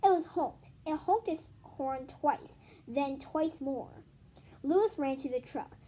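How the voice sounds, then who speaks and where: A woman's voice: high-pitched, slow pace, warm tone. A female speaker in a casual home read-aloud and vlog, chatting informally with muttered asides to herself. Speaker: host reading a children's book aloud. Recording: noisy home recording with clicks.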